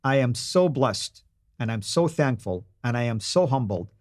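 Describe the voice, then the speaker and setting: A man's voice: medium pitch, lively intonation, moderate pace, a little mellow, no echo. Arabic-native guy hosting a live stream, earnest and emphatic, dry studio sound, mid voice. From Saudi Arabia. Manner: earnest, emphatic, with repeated phrases. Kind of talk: live-stream address to viewers.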